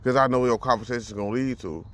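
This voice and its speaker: A man's voice: medium pitch, quick pace, a bit bright. A man in his thirties talking bluntly and profanely in a casual monologue, often repeating words as he gathers his thoughts. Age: 30s. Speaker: vlogger.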